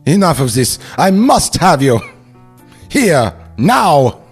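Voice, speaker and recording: A man acts out a cartoon Nazi villain who is worked up and demanding. The voice climbs high and swings melodramatically, in the manner of a comic script read. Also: sing-song, takes it slowly, faint music behind, heavily acted. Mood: lustful demanding. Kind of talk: comedic script table read.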